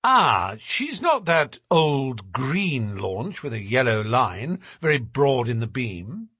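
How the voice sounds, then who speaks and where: A man voicing a shrewd detective in an audiobook, with a smooth, measured, politely formal way of coaxing. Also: mid-range voice, very animated, fairly bright tone, dry recording, clean studio sound, exaggerated voice. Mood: bland probing. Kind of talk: audiobook character voice.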